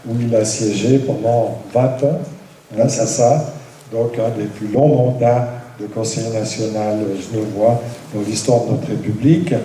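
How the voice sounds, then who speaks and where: A man's voice: medium pitch, dark tone, a little hiss or hum. A man introducing the speakers and moderating a debate, often hesitating and restarting his sentences. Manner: hesitant, many fillers and restarts. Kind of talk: formal introduction. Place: hotel conference room. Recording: distant mic in an echoey hall.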